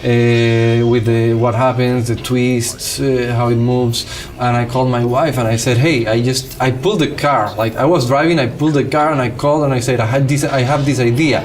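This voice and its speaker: A man's voice: mid-range voice, fairly even intonation, normal speed, a little hiss or hum. A man from Cuba with a Cuban accent and Spanish as his first language, a film director talking casually and animatedly in an interview.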